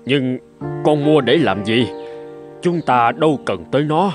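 A man's voice: mid-range voice, animated, a little slow, close to an everyday voice. A man voicing an ailing, anxious older father in a cartoon, speaking in a weary, plaintive way. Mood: doubtful. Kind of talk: animated film dubbing. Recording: music clearly behind the voice.

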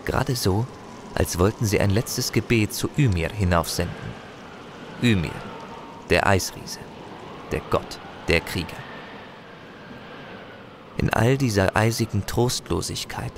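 An actor playing a chronicler, narrating a grim warrior saga in a scripted audio drama. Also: male voice, on the low side, creaky, background noise. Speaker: storyteller narrator.

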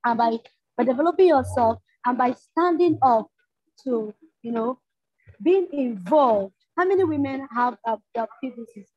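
Nigerian woman, high breathy voice, earnest and urging, muffled lo-fi video call audio. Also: expressive, normal speed, dry recording. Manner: earnest, urging, repeats phrases for emphasis. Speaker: panelist, leader of a campus organization. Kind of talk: impassioned panel talk. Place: indoor room at home.

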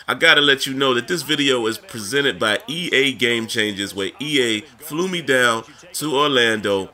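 Guy calling a football video game, raspy sick voice but bright and forceful, chatting to viewers. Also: mid-range voice, normal speed. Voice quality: scratchy, raspy. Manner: casual, addresses viewers directly. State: under the weather with a scratchy voice. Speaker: gaming video host. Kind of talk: gameplay commentary.

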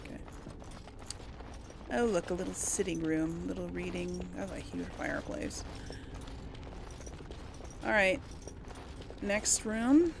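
A woman's voice: fairly low pitch, slow pace, creaky, long pauses, noisy background. A woman giving casual let's play commentary, chatting and thinking aloud to her viewers. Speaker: gaming video host.

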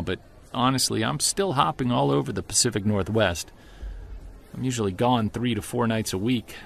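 An actor playing a driven, weary Bigfoot investigator in an audio drama, earnest and conversational. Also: male voice, medium pitch, creaky, fairly bright tone, a little hiss or hum. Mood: weary, resigned. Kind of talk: audio drama, first-person narration and dialogue.

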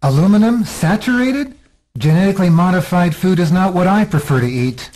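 A man's voice: sits high, expressive, fairly quick, darkish tone, low-quality recording. A man playing an earnest, defiant activist rebel in a radio drama, arguing insistently.

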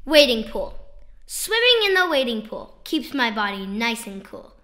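A woman reads children's poems in a notably high voice with a bright, forward tone. Her delivery is playful and sing-song, leaning on key words with clear emphasis, as in a storybook read-aloud. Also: big pitch swings, unhurried. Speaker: children's poetry author and reader.

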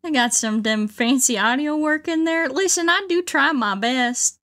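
A woman's voice: sits high, moderate pace, a bit bright. A woman with a put-on Southern US drawl chatting casually to livestream viewers in a folksy, exclamatory country-bumpkin way while gaming. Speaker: livestream host playing a video game. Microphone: close streaming microphone.

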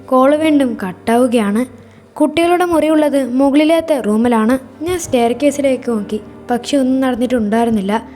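A woman narrates a scripted first-person horror story in a measured, suspenseful way. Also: high-pitched, warm tone, soft background music.

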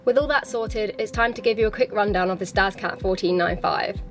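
A young woman hosting a sailing vlog, chatting excitedly on board a catamaran and narrating, laughing between phrases. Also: mid-range voice, brisk. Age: young adult. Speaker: vlog host. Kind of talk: casual vlog narration and chat.

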